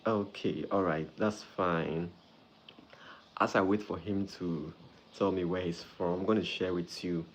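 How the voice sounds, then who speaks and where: Guy doing a live stream, real low voice, keeps repeating names, bit of room hum behind. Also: fairly even intonation, unhurried. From Ghana. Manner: friendly, greets viewers by name, repeats phrases. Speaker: doctor hosting a live stream. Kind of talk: live-stream chat with viewers.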